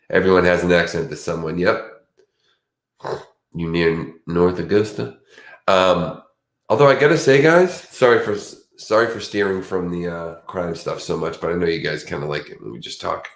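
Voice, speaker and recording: A man's voice: low voice, moderate pace. A man with a Boston accent, sleepy and chatting in a rambling, casual way as co-host of a true crime stream. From Boston area. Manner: meandering, chatty, restarts often. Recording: roomy echo.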